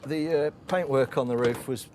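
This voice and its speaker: A man's voice: mid-range voice, moderate pace, a little mellow, slight background noise. A man showing his car to a buyer, friendly and hesitant, with many fillers. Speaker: seller.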